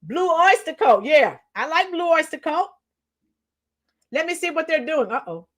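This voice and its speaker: A middle-aged woman with a hoarse, tired voice chatting casually with livestream viewers, reading out comments and singing bits of songs. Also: high-pitched, lively intonation, measured pace, fairly bright tone. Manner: chatty, reads out comments and sings along to songs. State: hoarse, losing her voice. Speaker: livestream host.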